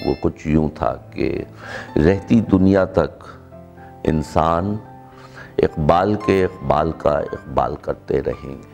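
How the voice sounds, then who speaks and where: Slow, low-voiced middle-aged Pakistani man. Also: creaky, darkish tone, faint music behind. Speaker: presenter. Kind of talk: introduction and formal recitation of a written speech.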